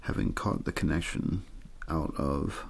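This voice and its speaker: Guy doing asmr story reading, super creaky low voice, kinda husky, soft and not theatrical. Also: a little hiss or hum. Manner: soft, unhurried, untheatrical reading. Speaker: host and reader of an audio ASMR show.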